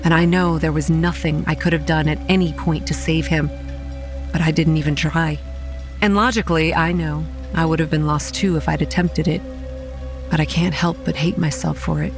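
Haunted, wry young woman narrating horror. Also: low-pitched. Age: young adult. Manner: grave, measured storytelling with dry sarcastic asides. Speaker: narrator and lead actor. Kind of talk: dramatic first-person horror audio drama narration. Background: quiet music and rain.

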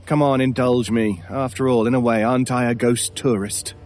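An actor playing an enigmatic, commanding stranger in a horror audio drama, speaking in a measured, probing, authoritative way over music. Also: male voice, medium pitch, steady tone, a little mellow, natural voice. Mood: probing, ominous. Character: enigmatic, commanding male stranger who lectures on mysteries.

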